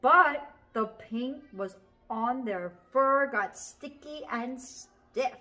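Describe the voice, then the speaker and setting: A woman's voice: sits high, very slow, pushed and bright. A woman in middle adulthood reading a picture book to children expressively, with dramatic emphasis and prompting questions. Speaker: children's storyteller with a puppet. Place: small furnished indoor room.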